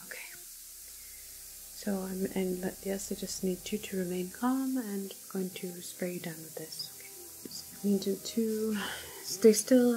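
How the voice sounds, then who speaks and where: A woman playing a calm, clinical doctor in an ASMR roleplay, soft-spoken and sometimes whispering, explaining things in a hesitant, measured way. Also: medium pitch, natural voice, poor audio. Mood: soothing, instructing. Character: calm, clinical woman doctor at an alien-virus quarantine facility.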